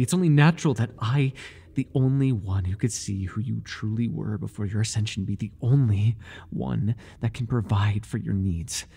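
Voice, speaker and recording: A man performs an obsessive high priest devoted to his god in an intimate audio roleplay. His voice is very breathy and rough, with lively, swooping intonation. He speaks in lofty, servile phrases, stammering and pleading with jealous devotion. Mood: anxious pleading. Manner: servile and pleading, stammering, grandiose formal speech. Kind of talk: ASMR roleplay monologue, dramatic acting.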